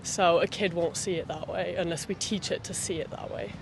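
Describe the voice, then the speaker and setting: A man's voice: high-pitched, fairly even intonation, a little fast, creaky, background noise. A man from Belgium answering a street interviewer passionately, often saying "I mean". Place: city street outdoors.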